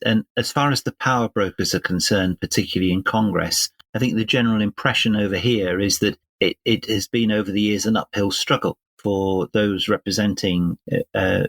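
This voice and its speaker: Older British male interviewer, very low register, courteous and deliberate delivery, dry recording. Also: gravelly, no echo. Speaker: podcast host and interviewer. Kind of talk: measured podcast interview.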